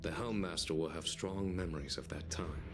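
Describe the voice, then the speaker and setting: An actor playing a calm, dignified army general in a video game, speaking in measured, formal, eloquent phrases with gentle wryness. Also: male voice, very deep voice. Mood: grave, considerate. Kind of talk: dramatic video game dialogue. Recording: game music behind.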